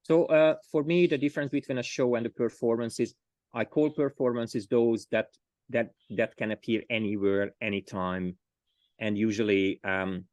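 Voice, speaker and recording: A man's voice: medium pitch, steady tone, moderate pace, darkish tone, dry recording. A man with a Hungarian accent, a storyteller being interviewed, talking casually and often restarting as he searches for words. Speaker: storyteller, guest.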